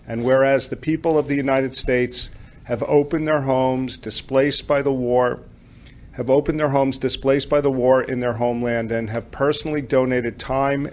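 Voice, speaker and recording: Man, medium pitch, mayor, formal proclamation reading, indoor public meeting. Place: indoor township council meeting.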